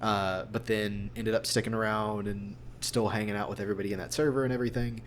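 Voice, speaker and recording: A man's voice: fairly low pitch, level tone, quick pace. A man talking casually and at length in an interview, as a voice actor. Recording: clear background hum and noise.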